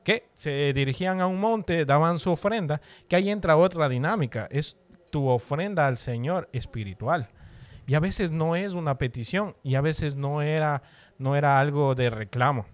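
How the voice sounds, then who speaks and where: A man's voice: a little high, no echo. A man from Ecuador casually explaining faith as a podcast co-host, repeating phrases for emphasis. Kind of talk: casual religious discussion.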